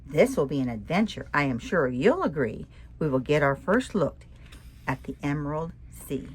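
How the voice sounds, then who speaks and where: A woman voicing a cheerful, excitable male frog who exclaims eagerly. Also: low-pitched, normal speed, slight background noise, voice pushed down. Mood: excited.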